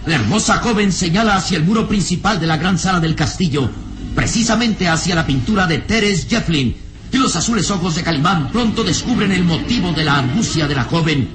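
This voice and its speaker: An actor playing the solemn, suspenseful narrator of a mystery radio serial, with theatrical delivery. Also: male voice, sits high, animated, brisk. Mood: urgent. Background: music.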